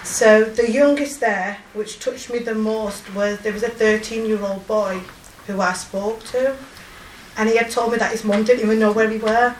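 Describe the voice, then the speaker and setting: A woman in her forties with a strong Yorkshire accent, telling of her volunteer work in a halting, emotional way with many pauses and fillers. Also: mid-range voice, roomy sound, far from the mic, slight background noise, low-quality recording. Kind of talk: personal testimony.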